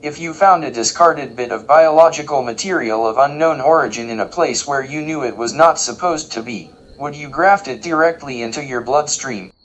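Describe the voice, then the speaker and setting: A man's voice: a little high, normal speed, hardly any pauses, a bit bright, dull audio, slight background noise, lightly acted. A man playing a formal, cautious, literal-minded machine in a sci-fi audio comedy, with precise, stiff diction. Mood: pedantic refusal. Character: formal, cautious, literal-minded male sentient computer or robot. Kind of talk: audio sitcom acting.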